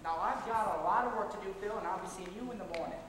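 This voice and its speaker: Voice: mid-range voice, very fast, a bit bright, close to an everyday voice. A performer playing a persuasive, domineering older-hand political mentor, coaxing and patronizing, in an amateur stage comedy. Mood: scheming, persuasive. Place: large theater stage, distant camera. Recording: very echoey theater, faint noise.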